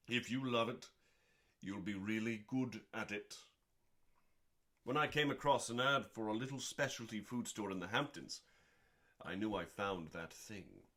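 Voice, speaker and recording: A man reading a book aloud as a show host, in a deliberate, dramatic bedtime-story style with pauses and drawn-out words. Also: lowish voice, fairly bright tone. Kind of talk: slow dramatic book reading, meant as calming bedtime listening.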